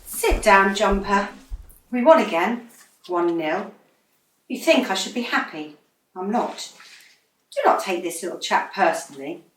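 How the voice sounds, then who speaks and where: A woman's voice: medium pitch, lively intonation, measured pace, long pauses, a little hiss or hum, close to an everyday voice. A woman acting a blunt, exasperated female football manager in a radio play, scolding and emphatic. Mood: stern, exasperated. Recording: roomy, distant microphone.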